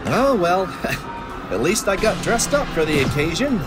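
A swashbuckling space braggart, acted by a man, cocky and full of British slang. His highish voice swoops in an unhurried way over music. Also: very animated. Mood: cheerful, cocky.

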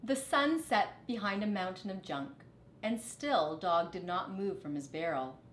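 A middle-aged woman reads a picture book to children in a teacherly way. Her pitch moves expressively and her pace is measured. The sound is echoey, like a living room. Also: lively intonation, slight background noise. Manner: teacherly, dramatic phrasing. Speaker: storybook reader. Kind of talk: expressive children's read-aloud.

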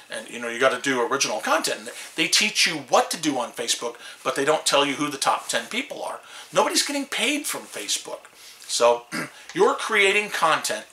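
A man's voice: medium pitch, bright, forceful tone, echoey room. A man in his fifties with a Chicago accent, vlogging to camera in a small room, rambling with many restarts and fillers. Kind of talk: casual talk to camera.

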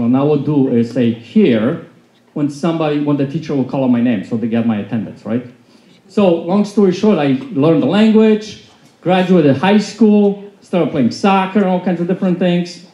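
Polish-born male speaker in his 40s, loud storyteller with a mellow, fairly high voice, handheld mic in an echoey hall. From Poland. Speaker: motivational speaker. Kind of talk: storytelling talk to an audience. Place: large conference hall with a seated audience. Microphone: handheld mic, filmed from the back of the room. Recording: echoey hall, dull sound.

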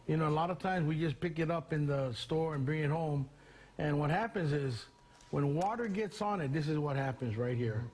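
A man in his sixties demonstrating a cooking tip in a home kitchen, in a relaxed, folksy way with many fillers. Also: on the high side, moderate pace. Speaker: chef.

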